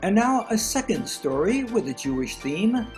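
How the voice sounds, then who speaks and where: A woman's voice: medium pitch, very animated, normal speed, a little mellow, soft background music. A woman narrating scripted introductions as a presenter, recorded on a video call.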